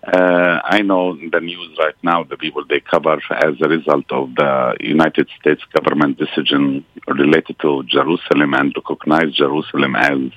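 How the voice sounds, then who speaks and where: Man, very low voice, halting phone-in guest on a dull, dry line. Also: level tone, fairly bright tone, dry recording. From Palestine. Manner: halting, with many pauses and fillers. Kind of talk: radio interview on the phone.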